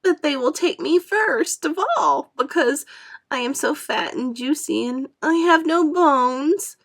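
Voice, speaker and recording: A woman's voice: sits high, slow pace, vocal fry, pitched above the performer's own voice, exaggerated voice. A woman voicing a fretful, easily terrified male earthworm in a storybook read-aloud, with wailing, urgent delivery. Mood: wailing self-pity.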